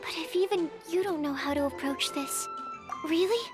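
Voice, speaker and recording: A woman's voice: very high-pitched, normal speed. A woman playing a shy, earnest young alchemist in a video game, with polite, precise, scholarly phrasing. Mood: explaining patiently. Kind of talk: video game character voice acting. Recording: background music under the voice.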